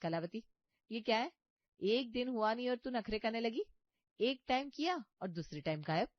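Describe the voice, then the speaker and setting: A woman voicing a thrifty, eager-to-please daughter-in-law in a comic story, animated and pleased with herself. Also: fairly high pitch, moderate pace, no echo, highs cut off, pitched above the performer's own voice, natural voice. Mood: scolding. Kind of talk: comic cartoon story voice acting.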